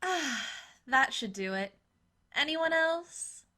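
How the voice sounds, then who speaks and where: A dramatic, complaining young woman in a cheesy horror audio drama, played by an actress. Her pitch swings widely and she takes her time over the lines, sounding snappish and easily spooked. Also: animated, slow, bright, forceful tone, heavily acted. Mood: irritable.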